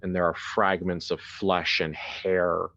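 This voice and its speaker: A man narrating a horror tabletop game as game master in a deliberate, dramatic, halting way, with improvised phrasing. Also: deep voice, little pitch movement, bright, forceful tone, dull audio.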